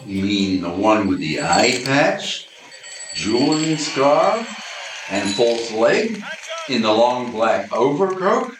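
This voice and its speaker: A man's voice: medium pitch, very animated, slow, roomy sound, background noise. A man playing a bumbling, wide-eyed detective. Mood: excited. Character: adult male supernatural detective, bumbling and easily impressed. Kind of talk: amateur community radio play acting.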